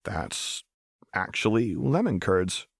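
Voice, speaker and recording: Male narrator as hesitant everyman, placating, earnest, put-upon, lively intonation, dry studio sound, audiobook dialogue. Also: low-pitched, slow, creaky, husky, dry recording, heavily acted. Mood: flat, wary. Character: nervous, apologetic, put-upon ordinary man, loyal friend. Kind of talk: audiobook character voice.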